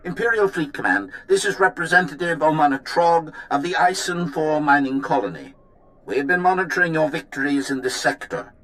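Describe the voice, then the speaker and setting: An actor playing an anxious but dignified mining colony representative in a video game, delivering a formal, deliberate official message. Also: male voice, on the high side, lively intonation, a bit bright, low-quality recording. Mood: grave, submissive. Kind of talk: formal transmitted appeal in a game.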